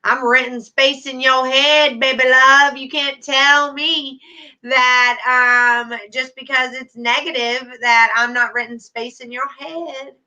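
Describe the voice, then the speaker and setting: A woman's voice: sits high, steady tone, slow, pushed and bright, muffled recording. A middle-aged woman hosting a casual livestream, chatting to viewers by name with endearments. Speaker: YouTube livestream host.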